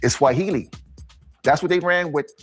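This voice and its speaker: A man's voice: a little high, moderate pace, soft background music. A man in a documentary interview, explaining things matter-of-factly.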